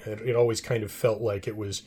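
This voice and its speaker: A middle-aged man in a casual trivia discussion, rambling and thinking out loud as he talks. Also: lowish voice, little pitch movement, fairly quick, slight background noise. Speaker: podcast co-host.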